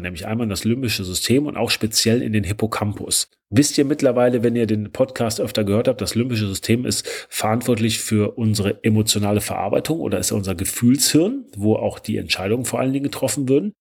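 A man's voice: lowish voice, a little mellow, breathy edge. A male podcast host with a stuffy nose from a cold, explaining a topic in a chatty solo talk with frequent filler sounds.

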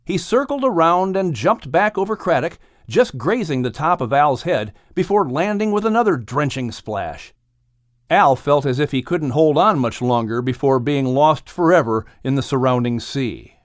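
A man narrating a children's audiobook story in a dramatic, expressive style. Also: a little high, normal speed, dry recording, clean studio sound.

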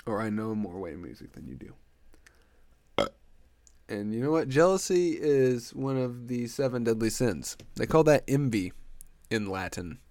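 A man's voice: medium pitch, lively intonation, measured pace, pauses often, mellow, no room sound. A young man hosting a solo podcast, congested and stuffy-nosed, joking crudely and drifting off on tangents. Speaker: podcast host. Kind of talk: rambling solo podcast chat.

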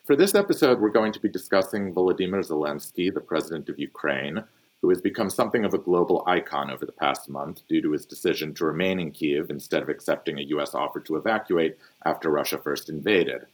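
A man with a very low, deep voice hosts a podcast panel. He reads his introductions in a measured, formal way. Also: lively intonation. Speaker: podcast host, newsletter editor.

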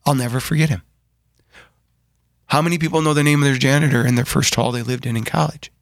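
A man's voice: mid-range voice, fairly even intonation, fast talker, dry recording, studio quality. A middle-aged man in casual, reflective conversation, thoughtful, often restarting and saying "like". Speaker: brother in a family discussion.

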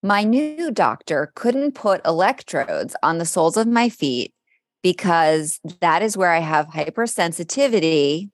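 Low-voiced woman co-hosting a podcast, dry close sound. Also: sing-song, unhurried, no room sound. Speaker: podcast co-host. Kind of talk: casual chat between co-hosts, some reading aloud.

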